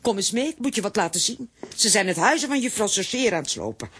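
An actress playing a fussy, bossy, quick-tempered aunt with a husky voice in a children's audio drama. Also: female voice, mid-range voice, sing-song, fairly bright tone. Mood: brisk.

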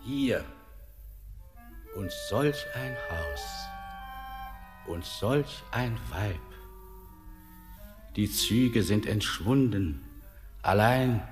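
An actor playing a weary, ominous ancient ghostly man in a poetic radio drama, speaking in solemn, declamatory, drawn-out phrases. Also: lowish voice. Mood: musing. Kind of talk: classic German radio drama, poetic stage diction. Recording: long hall-like reverb.

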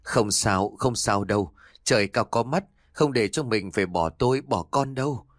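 A man voicing a desperate aging husband who pleads, shouts and sobs over his dying wife. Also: fairly low pitch, normal speed, no room sound, clean studio sound, lightly acted. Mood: tender coaxing. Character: desperate aging husband, grief-stricken and volatile.

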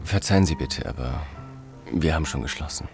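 Very deep-voiced, deferential barman. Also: brisk, over loud music. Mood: apologetic, reluctant. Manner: formal, apologetic. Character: courteous, deferential, reluctant male hotel barman. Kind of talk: German radio drama acting.

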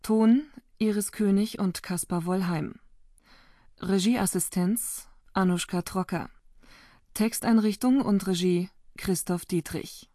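Female announcer, slow, mellow and lowish, dry. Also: no room sound. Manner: formal, even. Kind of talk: reading the credits.

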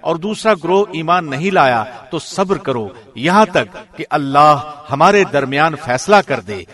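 A man reading a scripture translation from a script in formal, deliberate radio presenter diction. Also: on the high side, animated, fairly bright tone.

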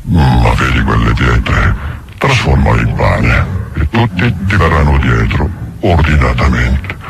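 Casting: sly tempter devil, radio play, very slow, croaking gravel voice, cold and insinuating. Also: male voice, rumbling low, expressive, exaggerated voice. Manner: measured, deliberate insinuation. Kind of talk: theatrical radio drama.

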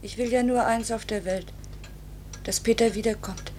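Actress as an anguished, pleading young wife. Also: female voice, on the high side, fairly even intonation, a little mellow, close to an everyday voice. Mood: praying. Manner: earnest, repetitive, measured phrases. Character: pilot's anguished wife, pleading, quietly bitter. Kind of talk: 1950s German radio play acting. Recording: faintly noisy vintage radio recording.

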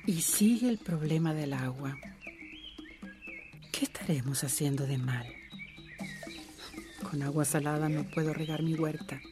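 Low-voiced, slow, pensive farmer. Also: female voice. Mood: troubled. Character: worried, pensive woman farmer talking to herself. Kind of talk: radio drama monologue. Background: music and outdoor nature sounds.

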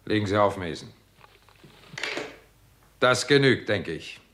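A forceful, lowish-voiced investigator, brusque and used to command. He speaks curtly in an old radio crime drama with audible noise on the recording. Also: lively intonation, slow. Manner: curt, authoritative. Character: brusque, commanding investigator giving curt orders. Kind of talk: 1960s German crime radio play acting.

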